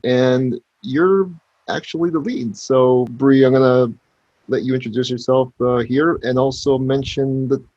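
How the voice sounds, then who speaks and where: A husky-voiced man hosts an interview in a casual, conversational way. His medium-pitched voice comes through dry and slightly dull, like modest lo-fi audio. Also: a little mellow, no echo. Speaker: interviewer, video channel host.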